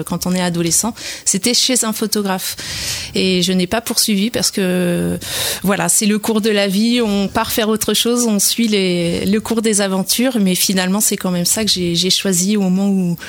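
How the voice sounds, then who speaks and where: A woman from the Sarthe area of France, a radio guest speaking in a thoughtful, halting way. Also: mid-range voice. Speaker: guest, artist and photographer. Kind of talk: radio interview.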